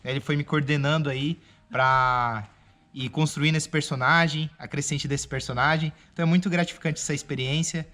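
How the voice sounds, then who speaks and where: Higher-voiced, effusive Brazilian man. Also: steady tone, normal speed, pushed and bright. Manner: grateful, effusive. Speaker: novice voice actor. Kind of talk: casual interview talk.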